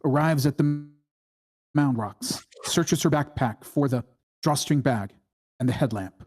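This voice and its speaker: A mid-pitched narrator reads screenplay stage directions aloud at a table read. The delivery is slow and deliberate, broken into short phrases. The voice has a husky, unpolished grain. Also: darkish tone. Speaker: screenplay reader.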